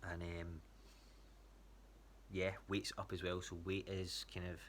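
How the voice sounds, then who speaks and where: Low-pitched Scottish man, casual update. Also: completely flat delivery, takes it slowly, a bit bright, slight background noise. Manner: hesitant, rambling. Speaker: athlete giving a training check-in to a coach.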